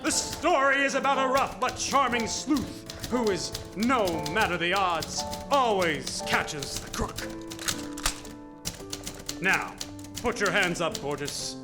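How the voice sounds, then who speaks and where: A man's voice: sits high, expressive, slow, echoey room. A man playing a cocky, self-dramatizing private detective in a 1940s noir radio parody, with wisecracking gumshoe slang and theatrical delivery. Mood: triumphant, cocky. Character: rough, cocky hard-boiled private detective, a male, self-dramatizing sleuth. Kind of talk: parody 1940s noir radio acting, alternating narration and dialogue. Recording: live stage radio play with music.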